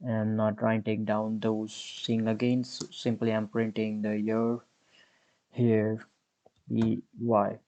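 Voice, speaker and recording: Young guy walking through code, slow and unhurried, keyboard clicks in back. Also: fairly low pitch, completely flat delivery, dark tone. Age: 20s. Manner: unhurried, explains step by step while typing. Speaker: coding tutorial host. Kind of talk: screen-recorded programming tutorial.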